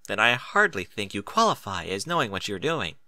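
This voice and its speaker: A man voicing a female pony schoolteacher, concerned and gently stern, with proper, admonishing speech. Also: on the low side, very animated, a little fast, dry recording, full character voice. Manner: proper, admonishing, careful diction.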